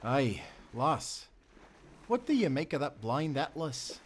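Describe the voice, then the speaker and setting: An actor playing a loyal, good-natured sailor in a nautical audio adventure, speaking earnestly with friendly seafaring phrasing. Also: male voice, mid-range voice, big pitch swings, slow, noisy background. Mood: wistful, friendly. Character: young-hearted, loyal, good-natured sailor and former timberman. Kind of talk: cinematic nautical audio roleplay acting.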